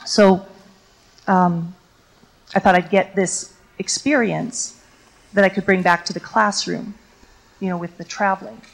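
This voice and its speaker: A woman's voice: mid-range voice, fairly even intonation, a little slow, vocal fry, pauses often, poor audio. A woman from Canada whose first language is Ukrainian, a teacher answering audience questions on a lecture stage in a reflective, storytelling way with many fillers.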